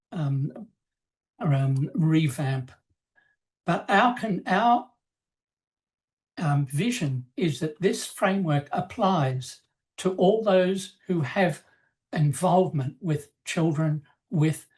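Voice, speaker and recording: Woman, low pitch, soft dark tone, measured formal delivery, webinar presenter. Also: rough recording. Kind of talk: slide presentation, semi-scripted explanation.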